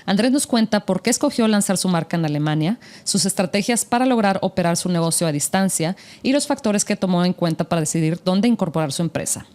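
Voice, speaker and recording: A woman's voice: lowish voice, lively intonation, quick pace, few pauses, darkish tone. A woman hosting a podcast interview, asking questions in a friendly, encouraging way and giving frequent short replies. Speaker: podcast host.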